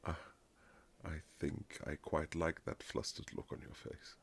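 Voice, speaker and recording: A man playing a reserved, formal Regency husband who is awkwardly tender, speaking in halting period phrases in a romantic audio roleplay, with a gravelly voice. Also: rumbling low, level tone, a little hiss or hum, exaggerated voice. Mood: courtly, teasing.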